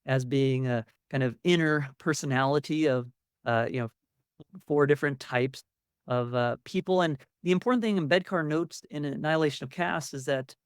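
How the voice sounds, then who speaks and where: A man's voice: a little high, moderate pace, clean tone, no room sound, clean studio sound. A man lecturing to the public as an academic, explaining in a conversational way and leaning on key words for emphasis.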